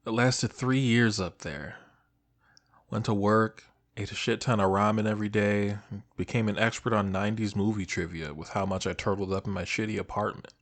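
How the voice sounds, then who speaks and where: A man acting as a shy, nostalgic old high school best friend, talking casually and hesitantly to the listener in an intimate audio roleplay. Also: steady tone, moderate pace, lightly acted. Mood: wistful, hesitant. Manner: hesitant, casual, soft-hearted, trailing off.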